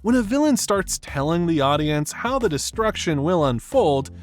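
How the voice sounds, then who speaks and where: A man's voice: fairly high pitch, very animated, moderate pace, soft background music. A man delivering scripted narration as the narrator of a video essay.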